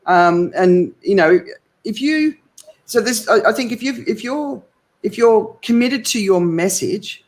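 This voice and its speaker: A woman's voice: medium pitch, lively intonation, measured pace. Chatty Australian woman telling her story. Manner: enthusiastic, rambling storytelling. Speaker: guest. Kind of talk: interview.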